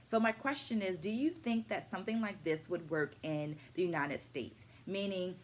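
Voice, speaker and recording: A middle-aged woman presenting a scripted book review to camera as an educator, in a measured, articulate way. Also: lowish voice. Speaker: host, dance educator. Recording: clearly noisy room ambience.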